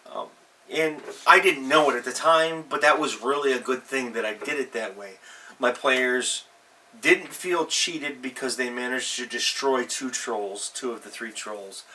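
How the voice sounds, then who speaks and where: Bright-voiced man rambling casually, slightly distant mic. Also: medium pitch. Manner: halting, self-correcting, rambling. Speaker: host of a tabletop role-playing advice show. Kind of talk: casual anecdotal monologue. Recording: distant mic with faint background noise.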